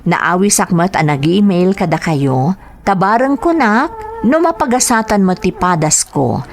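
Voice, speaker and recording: A woman's voice: medium pitch, animated, unhurried. Woman reading as earnest Ilocano factory worker narrator. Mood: earnest, reflective. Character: young male factory worker narrating his letter. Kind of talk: scripted drama letter narration.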